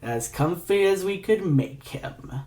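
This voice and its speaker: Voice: medium pitch, animated, slow pace, darkish tone, pitched above the performer's own voice. A performer voicing a drawling, sardonic male villain. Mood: dry drawl. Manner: drawling, dry, theatrical, taunting. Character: sardonic male villain scientist, needling his old lover.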